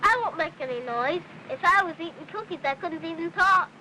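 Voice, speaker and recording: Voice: extremely high pitch, expressive, a little fast. A young performer playing an eager, boastful little boy in an old film, bossily pretending to be a cowboy. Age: child. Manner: bossy play-acting, boastful. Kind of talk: 1950s film acting. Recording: noisy old film soundtrack.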